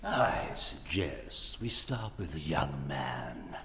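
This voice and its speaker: A man playing an urbane, sinister mad doctor with courteous, measured menace in a live horror radio play. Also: a bass voice, sing-song, fairly bright tone, exaggerated voice. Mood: cold menace. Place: live in an echoing anatomy theatre. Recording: echoing hall with background noise.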